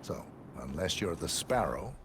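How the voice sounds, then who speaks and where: An actor playing a measured, understated guide in a video game, dry and deliberate. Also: male voice, deep voice, big pitch swings. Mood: dryly genial. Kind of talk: scripted video game acting. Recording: noisy game ambience behind.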